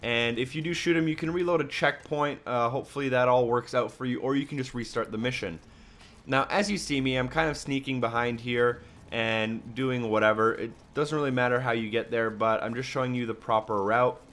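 A man's voice: medium pitch, steady tone, a little fast, a bit bright. A man giving a casual, step-by-step walkthrough commentary as a video game guide narrator. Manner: instructional, step-by-step. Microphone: voice-over on a microphone.

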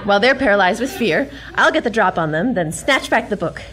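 A woman's voice: medium pitch, animated, brisk, crowd noise, close to an everyday voice, low-quality recording. A woman playing a plucky, earnest young American heroine in a pulp adventure audio drama, spirited and wry. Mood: wry, determined.

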